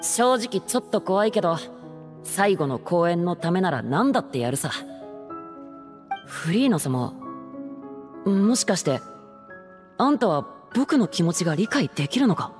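An actor playing an earnest, heartbroken young stage playwright in a Japanese game, speaking in a measured, confessional way. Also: male voice, high voice, big pitch swings, long reverb tail, soft background music. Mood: resolute.

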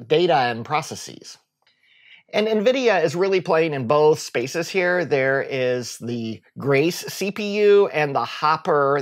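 Animated middle-aged man talking tech. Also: on the high side. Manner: enthusiastic, gestures with both hands while talking. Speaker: tech YouTuber. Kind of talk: casual talk to camera. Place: home office with bookshelves.

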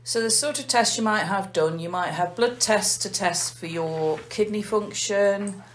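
An English woman, a nurse manager, calmly explaining a heart failure clinic in an interview. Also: medium pitch, slight background noise. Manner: measured, methodical explaining.

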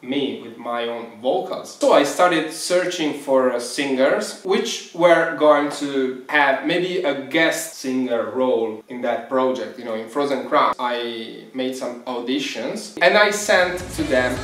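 A man in his thirties with an Italian accent, telling the story of his band in a relaxed, animated sit-down interview. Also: medium pitch. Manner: animated storytelling, quick bursts. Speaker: band founder and guitarist. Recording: echoey room, mic set far.

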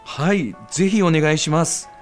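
Man playing a higher-voiced, earnest ramen stall customer. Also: moderate pace, soft background music, close to an everyday voice. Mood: eager. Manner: polite, earnest. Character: appreciative male regular customer at a ramen stall. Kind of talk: scripted radio skit.

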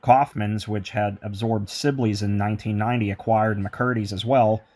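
Chatty man, lowish voice. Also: fairly even intonation, normal speed, a little mellow. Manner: enthusiastic, chatty asides. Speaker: mall tour vlogger. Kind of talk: walking tour narration with history. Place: indoor shopping mall.

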